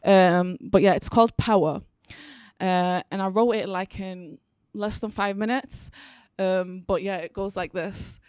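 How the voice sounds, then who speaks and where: A woman's voice: mid-range voice, unhurried, darkish tone, clear, resonant tone, no room sound, studio quality. A young woman of twenty, a spoken word poet at a panel event, chatting about her pieces and then reciting them while seated with a handheld mic, a little shaky. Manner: chatty, self-deprecating asides. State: a bit shaky. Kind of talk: performing spoken word poetry. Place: panel event in a city venue.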